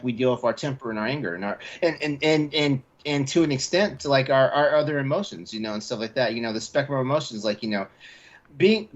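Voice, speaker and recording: Rambling man, slightly dull lo-fi sound. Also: medium pitch. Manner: rambling, repeats words emphatically. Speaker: podcast co-host. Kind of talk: casual podcast chat.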